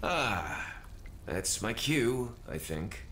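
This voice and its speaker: A slow, wry, weary drifter. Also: male voice, fairly low pitch, very animated, fairly bright tone, exaggerated voice. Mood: halting, wry. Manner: sardonic, halting, laconic. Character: weary, wry, battle-worn young man, alternate self. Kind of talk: video game cutscene acting. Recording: roomy echo with faint noise.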